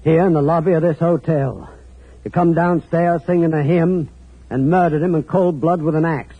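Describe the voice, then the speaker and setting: Actor playing a husky, folksy, menacing man. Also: a little high, fairly even intonation, normal speed, lightly acted. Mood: menacing, commanding. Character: menacing, folksy New England hotel keeper, domineering and sinister. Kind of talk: 1940s radio thriller acting. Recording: muffled old radio recording.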